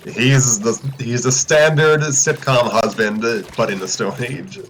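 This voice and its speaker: A man's voice: medium pitch, measured pace, a bit bright, rough recording. A man chatting casually in a group, explaining trivia in a matter-of-fact way. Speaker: show regular discussing cartoon lore. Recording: music playing behind the voice. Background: music.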